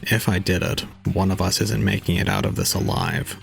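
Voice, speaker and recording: Man acting a deep-voiced, resigned young student. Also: normal speed, creaky, soft background music. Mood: detached, calm. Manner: solemn, calm, unemotional. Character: detached, resigned young male student recounting his own ordeal. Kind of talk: scripted first-person audio drama narration.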